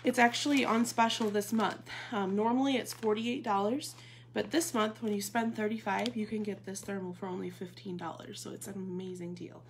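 Chatty woman with a gravelly edge. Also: medium pitch, fairly even intonation, brisk. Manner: chatty, casual, frequent ums. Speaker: bag consultant demonstrating products. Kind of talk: live product demo. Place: living room at home. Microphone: phone or webcam at table distance. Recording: noisy Facebook Live stream.

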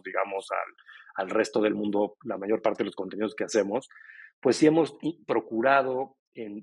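A man's voice: fairly low pitch, darkish tone, breathy edge. A middle-aged man, a company co-founder answering interview questions in a fluent, explanatory way. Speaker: podcast company co-founder, guest. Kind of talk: casual interview talk.

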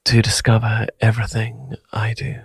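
A man with a British accent giving a soft, scripted ASMR sign-off, breathy and partly whispered. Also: fairly low pitch, completely flat delivery, normal speed, dry recording. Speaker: ASMR channel creator.

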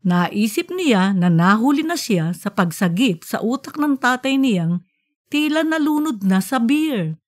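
A woman narrating an audiobook in an expressive storytelling style. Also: fairly high pitch, measured pace, dry recording.

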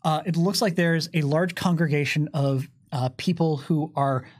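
A man in his thirties narrating a tabletop game and explaining its rules in a conversational, animated way. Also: a little high, moderate pace. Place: small curtained studio, indoors. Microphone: microphone on desk boom arm.

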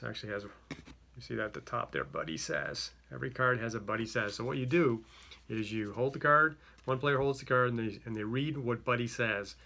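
A man's voice: medium pitch, bright, forceful tone. A middle-aged man with a slight Greek accent, unboxing and explaining a card game in a chatty way, full of fillers and restarts. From Greek-speaking home. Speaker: company head presenting a product. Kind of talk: casual product unboxing. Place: small office with a yellow wall.

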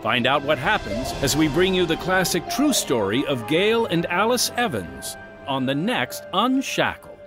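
An American man hosting a podcast, reading scripted radio announcements with measured, emphatic phrasing. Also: fairly high pitch. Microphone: close studio microphone. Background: music.

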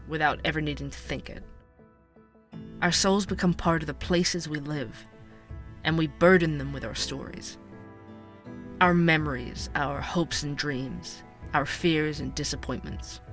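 Male actor as young podcast narrator, earnest, curious, lightly high pitch, audio drama, faint music. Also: a bit bright.

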